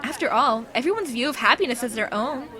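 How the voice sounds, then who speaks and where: A woman's voice: high voice, lively intonation, a little fast, some chatter behind. A woman playing a bossy, opinionated schoolgirl club leader in an anime-style audio drama, speaking assertively. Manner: assertive, declarative. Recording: music behind the voice.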